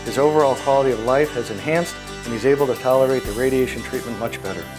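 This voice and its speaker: A man's voice: medium pitch, quick pace, poor audio. A man delivering scripted narration as the narrator of a short presentation. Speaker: narrator reading outcome and credits. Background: soft plucked guitar music.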